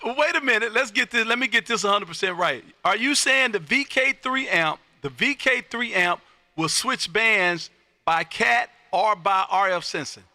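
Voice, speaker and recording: Bright, high-pitched man on ham radio. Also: animated, normal speed, no echo. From Texas. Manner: loud, exuberant, repeats himself for emphasis. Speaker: amateur radio operator and live stream host. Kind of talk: casual ham radio chat and calling.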